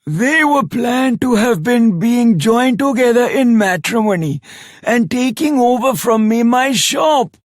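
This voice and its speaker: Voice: medium pitch, expressive, measured pace, no echo, clean studio sound, lightly acted. An actor playing an anxious old merchant in a video game, with an Indian accent and a dramatic, florid way of talking. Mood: earnest. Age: older. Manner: dramatic, florid, ungrammatical speech. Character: anxious old merchant, pleading for a kidnapped granddaughter. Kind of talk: theatrical video game voice acting.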